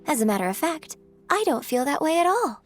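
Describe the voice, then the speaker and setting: A woman's voice: sits high, sing-song, brisk, faint music behind. A woman playing a refined, courteous young opera performer in a video game, speaking with polite, formal, elegant phrasing. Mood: courteous, earnest. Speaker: game character voice. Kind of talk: scripted video game dialogue.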